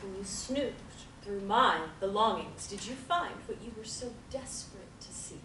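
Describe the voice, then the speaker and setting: Actress reading formal cool therapist, mid pitch, distant and roomy, steady hiss. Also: female voice, lightly acted. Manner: formal, measured, avoids contractions. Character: composed, evasive, faintly sinister woman therapist. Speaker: table-read actor. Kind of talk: script table reading.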